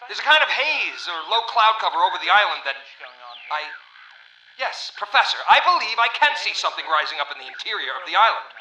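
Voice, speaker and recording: An actor playing a blunt, commanding navy captain in a radio drama, speaking over a ship's radio. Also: male voice, high-pitched, very animated, brisk, bright, forceful tone, reverberant, heavily acted, poor audio. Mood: uneasy awe. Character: gruff, commanding male navy ship captain. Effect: radio transmission.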